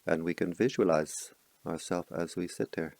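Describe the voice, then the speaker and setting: A man with a deep voice full of gravelly fry guides a meditation. He speaks softly and slowly, leaving long pauses between short, calm instructions. The sound is close and dry, with very little room on it. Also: no room sound. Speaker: meditation teacher.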